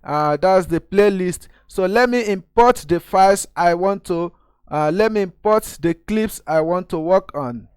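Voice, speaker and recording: Nigerian man walking through software steps. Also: high-pitched, measured pace, smooth, no room sound, studio quality. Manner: steady step-by-step explaining, addresses viewers as guys. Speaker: tutorial host. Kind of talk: screen-recorded software tutorial.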